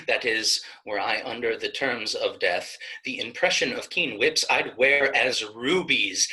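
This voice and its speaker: Slow, intense devout young woman played by a man. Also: medium pitch, bright, forceful tone, poor audio. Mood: defiant. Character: devout, steadfast young woman pleading for her condemned brother. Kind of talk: Shakespearean staged reading.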